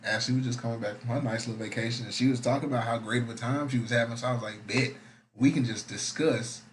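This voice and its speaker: A young man talks loosely and profanely as a podcast host, drifting through stories in easygoing slang. His voice sits at an ordinary pitch. Faint noise hums under the recording. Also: steady tone, fast talker. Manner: laid-back, slangy, profane. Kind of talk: casual chat.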